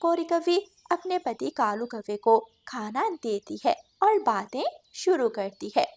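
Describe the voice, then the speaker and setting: Lady storyteller for a kids cartoon, pretty high voice, scripted narration. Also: expressive, a little slow, darkish tone.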